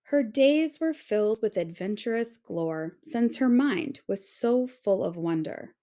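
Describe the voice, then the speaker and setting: A woman reading a children's picture book aloud in an expressive, carefully phrased storytelling way. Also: medium pitch, unhurried.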